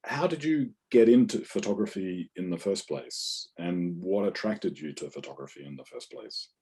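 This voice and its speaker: A man interviewing a guest, asking careful, measured questions. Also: low-pitched, animated, vocal fry, warm tone, husky. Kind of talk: interview conversation.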